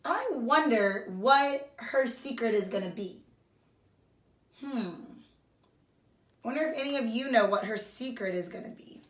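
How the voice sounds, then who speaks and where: A woman's voice: fairly high pitch, animated, unhurried, long pauses. A woman teacher doing a read-aloud lesson for young children, explaining simply and addressing them directly. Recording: roomy echo.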